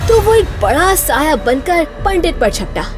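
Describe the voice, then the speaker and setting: A woman's voice: very high-pitched, very animated, a little slow. Suspenseful female horror narrator. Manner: dramatic, suspenseful. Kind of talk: scripted horror storytelling. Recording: narration over loud background music.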